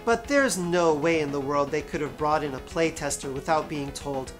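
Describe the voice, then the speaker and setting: A woman's voice: sits low, moderate pace, music in the background. A woman narrating a scripted video game review as its host, with wry, sarcastic asides and emphatic stresses.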